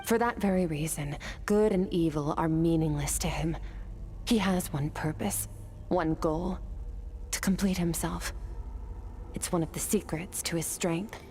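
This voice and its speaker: A woman's voice: lowish voice, a little slow, darkish tone, slight background noise, close to an everyday voice. An actress playing a cool-headed, resolute swordswoman in a video game, with composed, formal and matter-of-fact delivery. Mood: grave, measured. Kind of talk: dramatic video game acting. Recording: game music behind the voice.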